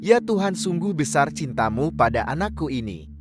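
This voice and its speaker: Man voicing a plaintive old peasant mother, lively. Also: fairly high pitch, moderate pace, over music. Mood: awed, grateful. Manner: pleading.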